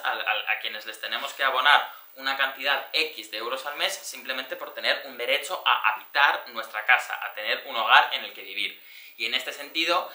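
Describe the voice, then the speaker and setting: Forceful, bright young Spanish man explaining. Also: mid-range voice. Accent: Spanish from Spain. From Alicante, Spain. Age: young adult. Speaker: tenants' union activist, speaker. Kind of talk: informal political presentation. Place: indoor social-centre room before an audience. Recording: echoey room sound.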